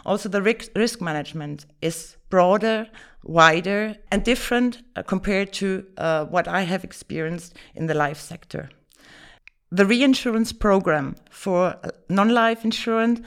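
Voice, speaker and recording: A woman whose first language is German, answering podcast interview questions in English in a measured, businesslike way with frequent fillers. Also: fairly low pitch. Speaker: insurance executive guest.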